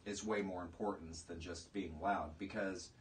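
A man in his thirties hosting a relaxed, conversational interview in a small room, picked up by a distant mic. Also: deep voice, normal speed. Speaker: podcast host and writer. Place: small indoor room, seated in armchairs. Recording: distant room mic, audible background noise.

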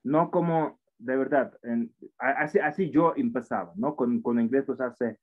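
A man's voice: medium pitch, measured pace. Man in his forties teaching over a tinny call. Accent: foreign accent in Spanish. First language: not Spanish. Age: 40s. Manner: patient, encouraging, repeats himself. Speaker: English teacher. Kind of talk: online class teaching. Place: indoor room at a computer. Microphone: computer mic on a video call. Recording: tinny phone-like online call audio.

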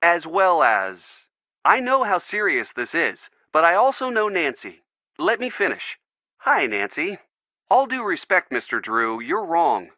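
Game role: young American boyfriend, loyal, caring, wry. Animated delivery, dry and slightly muffled recording. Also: male voice, a little high, a little slow, no echo, full character voice. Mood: firm, earnest. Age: young adult. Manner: earnest, gently joking. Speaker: voice actor in a video game. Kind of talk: video game dialogue, phone conversation.

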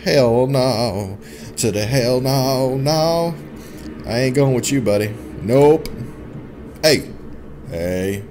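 A man's voice: mid-range voice, very slow, long pauses. A young man hosting a casual let's play, chatting informally and reacting playfully as he goes. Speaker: gaming YouTuber host. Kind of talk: casual let's play commentary, reading game text aloud. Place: indoor home gaming setup. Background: game music.

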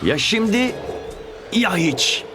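An actor playing a plucky, teasing young football hero in a Turkish cartoon dub. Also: male voice, high voice, big pitch swings, slow pace, music in the background, full character voice. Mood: confident.

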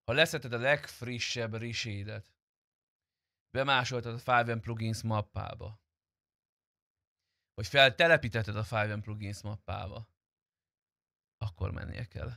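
A man's voice: medium pitch, creaky, long pauses, sharp tone, no room sound, studio quality. A man chatting casually on a game stream, informal and teasing, with some swearing. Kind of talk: casual live-stream chat during gameplay.

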